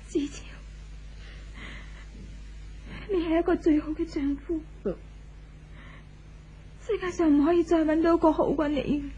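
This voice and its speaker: An actress playing a conflicted, melancholy young wife in a 1970s Hong Kong Cantonese radio drama, plaintive and confessional. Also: female voice, extremely high pitch, fairly even intonation, moderate pace, warm tone, slight background noise. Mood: sad, regretful. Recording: dull vintage radio recording with music.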